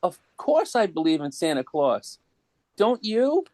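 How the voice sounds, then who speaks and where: A man's voice: sits high, very animated, a little slow, darkish tone, no echo. Man as young boy, eager, grateful, earnest, bouncy intonation, close dry sound. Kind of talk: dramatic comic book table read.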